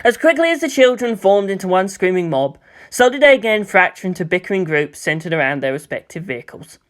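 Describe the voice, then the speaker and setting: A narrator reading a scripted story in an expressive way, with dramatic emphasis. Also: medium pitch, brisk. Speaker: audiobook narrator. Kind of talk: scripted narration of fan fiction.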